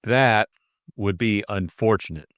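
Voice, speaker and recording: A man voicing a pleasant young English gentleman adventurer, careless and gently proud, in audiobook dialogue. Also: on the low side, slow, dry recording, clean studio sound.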